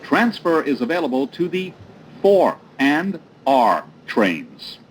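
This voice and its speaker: A man's voice: on the high side, sing-song, slow. A man reading formal, instructive scripted announcements, played over subway car speakers. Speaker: train announcer. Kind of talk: scripted automated transit announcements. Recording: noisy recording inside subway train. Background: train noise.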